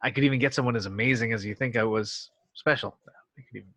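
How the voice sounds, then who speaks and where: A man playing an earnest actor famed as a heroic sci-fi captain in an amateur table read, halting and sheepish at times. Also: medium pitch, a bit bright, dry recording. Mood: sheepish, nostalgic.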